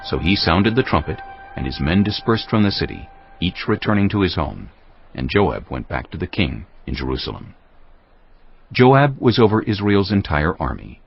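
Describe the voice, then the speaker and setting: A man's voice: low-pitched, sing-song, normal speed, vocal fry, husky. A man reading scripted narration for a dramatized audio Bible. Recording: dull band-limited audio with music bed.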